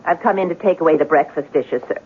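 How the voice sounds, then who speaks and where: An actress playing a blunt, opinionated housekeeper in a radio drama, with a husky voice. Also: female voice, low-pitched, quick pace, background noise, natural voice. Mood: teasing, certain. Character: nosy, opinionated housekeeper woman, blunt and unbudging. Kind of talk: 1970s radio drama acting. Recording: tinny old radio broadcast.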